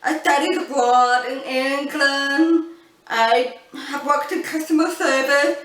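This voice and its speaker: A young woman with a deaf accent talks slowly and earnestly, her high voice shaping each sound with a wide mouth and strong emphasis. She is a little distant from the camera's built-in mic, so the sound comes across roomy and echoey. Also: a bit bright. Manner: slow, earnest, with frequent ums. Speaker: vlogger. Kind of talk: casual storytelling to camera.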